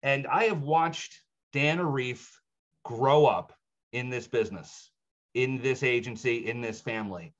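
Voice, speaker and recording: A man's voice: mid-range voice, a little slow. A middle-aged man who leads the agency introduces a speaker to his team with heartfelt pride. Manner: heartfelt, proud. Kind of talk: warm stage introduction. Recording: dull lo-fi recording.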